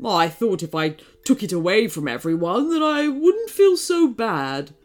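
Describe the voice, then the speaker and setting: A woman voicing a grumpy, lonely male snow monster in a children's story, with a loud, drawn-out, sulky delivery over music. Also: medium pitch, big pitch swings. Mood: bitter, sad.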